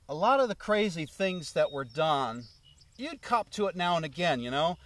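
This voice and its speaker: A man, a former road manager, telling rambling anecdotes full of "you know" asides. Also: a little high. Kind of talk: casual anecdotal storytelling. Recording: voice a little far from the mic.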